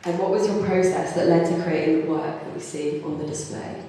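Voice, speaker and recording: A woman's voice: lowish voice, fairly even intonation, a little fast, warm tone, a little hiss or hum. A woman moderating a panel and asking casual questions, with "um" and "so, like". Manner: casual, friendly, "um" and "so, like". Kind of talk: interview questions. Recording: echoey hall, distant mic.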